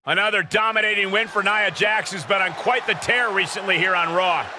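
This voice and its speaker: A man giving loud, excited play-by-play wrestling commentary for a video game, projecting his voice. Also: high-pitched, fairly quick. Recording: noisy arena crowd behind voice.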